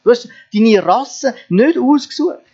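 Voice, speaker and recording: A man's voice: extremely high pitch, animated, measured pace, dark tone. A man preaching a sermon earnestly, asking his listeners rhetorical questions. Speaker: pastor. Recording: dull lo-fi sound with mains hum.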